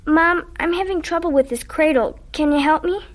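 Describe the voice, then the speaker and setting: A woman's voice: high voice, normal speed, lightly acted. An actress playing an evasive, stubborn young girl in a radio drama, with a childlike, plain-spoken manner. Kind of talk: radio drama acting and narration.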